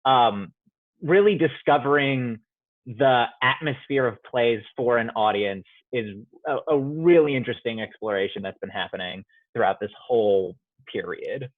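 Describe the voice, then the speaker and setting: A man's voice: mid-range voice, moderate pace, no room sound. A man, a playwright, answering interview questions in a reflective way, with many fillers and restarts, over a remote call. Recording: tinny phone-like line, dry.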